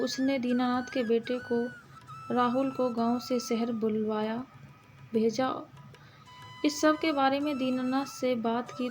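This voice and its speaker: A woman with a high voice reads a story aloud at a very slow pace. Her delivery is halting, often pausing in the middle of phrases. Light music plays behind the narration. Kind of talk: scripted story narration in Hindi storytelling manner. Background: background music.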